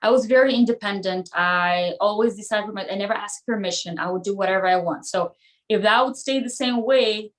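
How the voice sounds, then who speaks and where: A woman's voice: mid-range voice, brisk, low-quality recording. A woman who speaks English as a non-native language, chatting casually as a podcast guest and retelling stories with quoted dialogue. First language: not English, a non-native speaker. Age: 30s or older. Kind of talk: casual chat, personal anecdotes.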